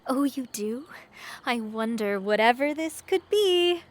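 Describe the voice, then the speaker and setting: A woman's voice: fairly high pitch, expressive, a little slow. An actress playing a foolish, naive young woman in love, coy and swooning, in a comedy horror audio drama. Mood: coy, hopeful.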